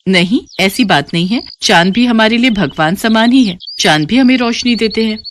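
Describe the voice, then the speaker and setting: A woman voicing a mild, reasoning farmer's wife in an animated moral story. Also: fairly high pitch, normal speed, slight background noise, lightly acted.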